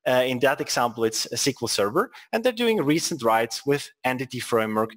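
A man's voice: mid-range voice, big pitch swings, fairly quick. Swiss-accented man presenting a tech webinar. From Switzerland. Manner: joking asides, frequent restarts and repeated words.

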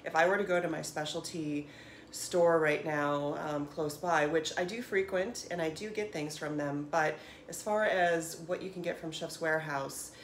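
A low-pitched American woman talks in a casual, upbeat vlog style. She is chatty and leans on her words with enthusiasm. The recording is a home kitchen one on a camera mic, with clear background noise. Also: fairly even intonation, normal speed. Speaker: home cook and vlogger. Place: small home kitchen, indoors.

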